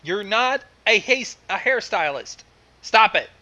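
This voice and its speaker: A man's voice: high voice, animated, a little slow, bright, forceful tone, muffled recording. A man giving casual, joking reaction commentary alongside a story reading.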